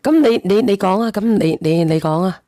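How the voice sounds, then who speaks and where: A woman's voice: medium pitch, moderate pace, mellow. A woman hosting a call-in show, casually probing and cutting in with questions. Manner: questioning, interjecting.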